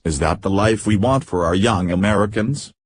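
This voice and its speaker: A man's voice: lowish voice, normal speed. A man reading a scripted news report word for word in a stiff, mechanical manner. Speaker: news narrator. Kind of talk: scripted news narration, read verbatim.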